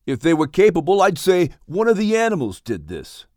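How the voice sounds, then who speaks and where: A man's voice: high-pitched, wildly swooping pitch, fairly quick, a little mellow, dry recording, studio quality, pitched above the performer's own voice. A man voicing a puzzled young son speaking to his father in a storybook. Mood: suspicious. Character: puzzled young adult son, plain-spoken.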